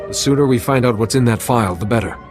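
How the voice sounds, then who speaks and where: A male actor voices a dutiful Jedi hero from a video game. He speaks formally and earnestly at a middle pitch, always composed and measured. Music plays clearly behind him. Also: a little mellow, natural voice. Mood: reassuring. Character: calm, dutiful Jedi hero, adult man.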